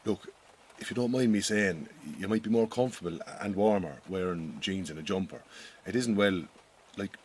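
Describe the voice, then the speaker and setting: An actor playing an awkward, well-meaning Irish farm boss in a radio drama, with an Irish accent and a blunt but stumbling way of talking. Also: male voice, deep voice, normal speed, darkish tone, breathy edge. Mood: awkward, friendly.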